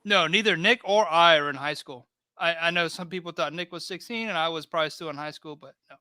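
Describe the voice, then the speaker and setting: Male livestream host, 30s, bright and crisp, relaxed rambling chat, dry sound. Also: on the high side, quick pace, dry recording. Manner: laid-back, rambling, reads chat aloud.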